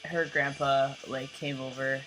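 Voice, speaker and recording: A young man with a light, fairly high voice talking in a rambling, casual vlog, full of "like" and "you know". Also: level tone, a little slow, music in the background, poor audio. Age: young adult. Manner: rambling, filler-heavy, lots of "like" and "you know". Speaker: vlogger.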